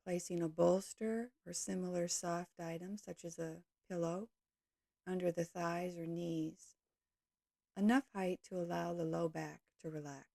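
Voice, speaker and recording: A woman leading a guided yoga nidra meditation, speaking calmly and gently in slow, measured short phrases. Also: fairly low pitch, gravelly, dry recording, studio quality.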